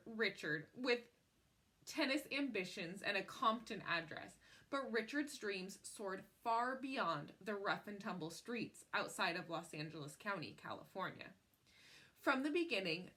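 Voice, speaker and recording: Bright-voiced woman reading a story aloud. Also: medium pitch. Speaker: picture book reader. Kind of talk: expressive read-aloud storytelling.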